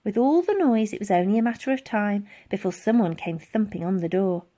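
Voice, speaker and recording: A woman's voice: mid-range voice, a little fast. A woman reading a children's book aloud as dramatic, theatrical narration. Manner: expressive, theatrical. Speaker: storybook reader.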